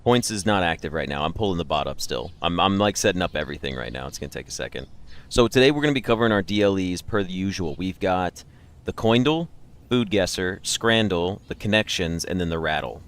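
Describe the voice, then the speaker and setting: Low-voiced man chatting on a livestream. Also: expressive, a little fast, a bit bright. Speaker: livestream host. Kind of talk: casual chat with live stream viewers.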